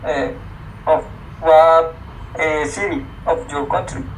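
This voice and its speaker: A man with a Colombian accent and a fairly high voice talks very slowly. He is a Spanish-speaking learner chatting casually, repeating words as he searches, over faint noise. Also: steady tone, low-quality recording. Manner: halting, repetitive learner English. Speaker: English learner. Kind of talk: casual chat. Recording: faint noise on video call.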